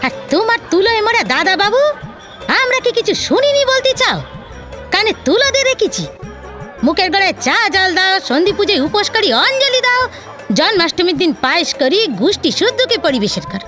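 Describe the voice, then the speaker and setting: A woman's voice: extremely high pitch, very animated, normal speed, sharp tone, faint music behind, heavily acted. A woman playing a cheeky, boastful younger housemaid in a Bengali audio drama, argumentative, with a colloquial rural West Bengal accent. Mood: mocking, indignant.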